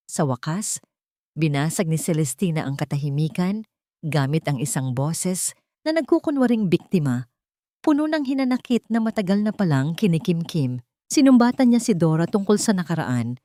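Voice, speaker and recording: Female narrator, lower register, dry recording. Measured, sentimental delivery for serialized romantic drama. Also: warm tone, dry recording. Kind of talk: dramatic scripted audio-story narration.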